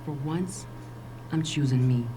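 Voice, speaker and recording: A woman's voice: very deep voice, measured pace, warm tone, a little hiss or hum, poor audio. A woman playing a defiant, sarcastic middle-aged wife and mother in an audio drama. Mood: hurt, resolute. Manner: sarcastic, emphatic.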